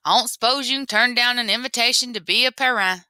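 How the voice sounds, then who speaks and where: A woman voicing a big, shaggy male animal in a folktale, gruff and grumbling in a blunt way. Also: on the high side, a little fast, bright, forceful tone, no room sound, pitched above the performer's own voice.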